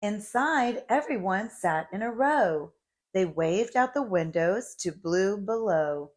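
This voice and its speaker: A woman reading a picture book aloud to preschoolers in an expressive storytelling way. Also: medium pitch. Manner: deliberate, animated storytelling. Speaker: children's read-aloud host.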